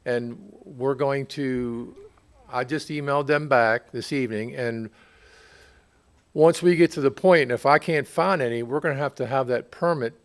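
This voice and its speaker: An American man, a borough utility official, answering questions at a public meeting in a conversational, halting way, often repeating himself. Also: medium pitch. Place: public council meeting room.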